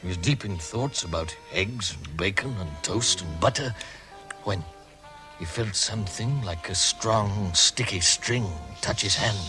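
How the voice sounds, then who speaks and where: A man narrating a fantasy tale as a measured storyteller in a radio drama, reading from a script. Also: on the low side, music in the background, natural voice, poor audio. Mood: measured, storytelling.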